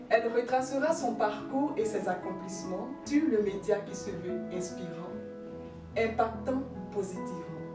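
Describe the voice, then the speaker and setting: Woman host, measured and formal, distant mic in an echoey room. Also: mid-range voice. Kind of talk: talk show hosting. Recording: echoey studio, distant mic, guitar music.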